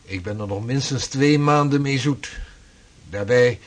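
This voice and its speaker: An actor playing an earnest 16th-century navigator and mapmaker who talks in a measured, formal way. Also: male voice, medium pitch, lightly acted. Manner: measured, formal, old-fashioned speech. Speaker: seafaring cartographer. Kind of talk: radio drama acting.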